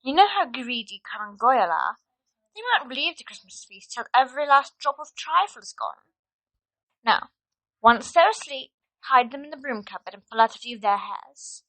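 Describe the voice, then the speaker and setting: A woman's voice: on the high side, lively intonation, normal speed, a bit bright, dry recording, poor audio. A woman playing a clever, bossy schoolgirl witch in an audio drama, assured and instructing. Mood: confident, scheming.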